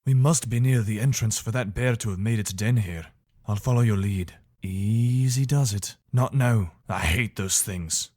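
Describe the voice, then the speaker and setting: A man's voice: fairly low pitch, unhurried, a little mellow, dry recording, studio quality. A man playing a dutiful, weary but decent imperial soldier in a fantasy video game, speaking earnestly in short, commanding phrases. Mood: wary, practical. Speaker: voice actor for a game mod.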